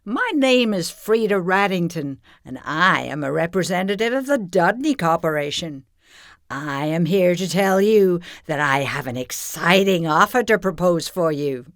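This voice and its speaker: Woman acting a villainous corporate envoy, haughty, scheming, slightly low swooping voice, pompous, audio play. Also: expressive, unhurried, dry recording, clean studio sound. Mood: grandly persuasive. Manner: pompous, theatrical, wheedling.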